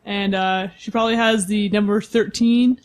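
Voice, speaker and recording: A woman's voice: medium pitch, steady tone, normal speed. A woman casually describing her character as a player on a tabletop game podcast, wry and playful.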